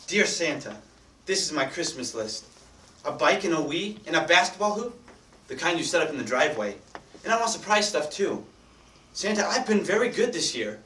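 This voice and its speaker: A man's voice: a little high, very animated, normal speed, sharp tone. A man playing an eager, mischievous young boy reading out a Christmas letter in a staged readers theatre. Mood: eager, defensive. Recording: echoey room, distant mic, faint noise.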